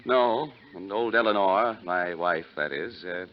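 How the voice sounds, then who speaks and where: Pompous, fussy, low-voiced rich schemer. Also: male voice, a little slow. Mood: fussy, indignant. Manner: pompous, florid, flustered hesitations. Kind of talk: 1940s radio acting. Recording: muffled, noisy 1940s radio recording.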